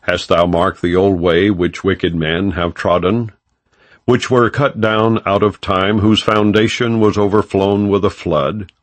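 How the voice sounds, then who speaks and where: Low-voiced man reading scripture, slow and expressive. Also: no echo. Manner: solemn, measured, formal. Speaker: audiobook narrator. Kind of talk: scripted scripture narration, non-dramatized.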